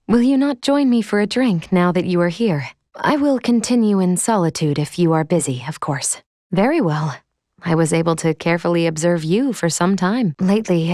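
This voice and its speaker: An actress playing a calm, analytical android companion in a video game, gently caring, with formal, precise diction. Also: female voice, on the low side, no room sound, clean studio sound, lightly acted. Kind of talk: video game character dialogue.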